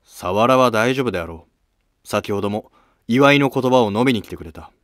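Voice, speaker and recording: A man plays an assured emperor in a historical cartoon drama. His voice is fairly low and dry, commanding and plain-spoken, brushing worries aside. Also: animated, normal speed, fairly bright tone, no room sound. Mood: assured, dismissive. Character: trusting, authoritative male emperor of ancient Japan. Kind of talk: scripted historical drama dialogue.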